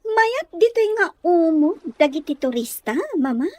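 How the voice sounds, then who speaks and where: Woman acting as dreamy rich daughter, high girly pitch, really lively, gushes in long drawn-out words. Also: dry recording, heavily acted. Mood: delighted, awed. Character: dreamy, delighted young daughter of a rich family. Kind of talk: audio drama acting.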